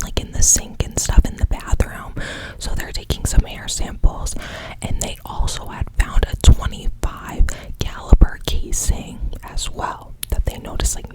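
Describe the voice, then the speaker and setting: A narrator whispering a true crime story in a casual, chatty way, leaning on "like" a lot. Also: low-quality recording.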